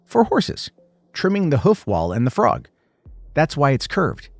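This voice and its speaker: A man voicing an older woman librarian, brisk, knowing and matter-of-fact, in an audio horror story. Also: a little high, wildly swooping pitch, voice pushed up, exaggerated voice.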